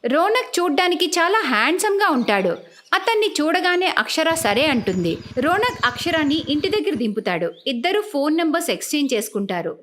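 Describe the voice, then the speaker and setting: A woman's voice: high voice, a bit bright. A woman narrating a scripted cartoon story as its storyteller.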